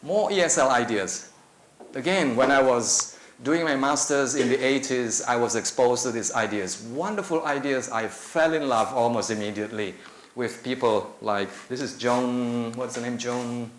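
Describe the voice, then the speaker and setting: Middle-aged Indonesian man lecturing on a handheld mic. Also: mid-range voice. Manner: conversational, with many filler pauses. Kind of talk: conference talk. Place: lecture room. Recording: echoey room with faint noise.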